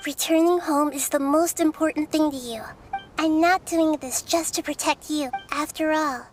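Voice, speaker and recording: A woman acting as a childlike game heroine with a very high, girlish voice. She sounds earnest and gentle, yet resolute, with music playing underneath. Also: fairly even intonation, moderate pace, lightly acted. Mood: reassuring.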